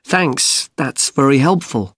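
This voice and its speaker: A man's voice: mid-range voice, expressive, slow pace, fairly bright tone, dry recording, clean studio sound. A man playing an attentive student who politely seeks guidance in a scripted listening-test dialogue. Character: attentive male student seeking guidance from tutor.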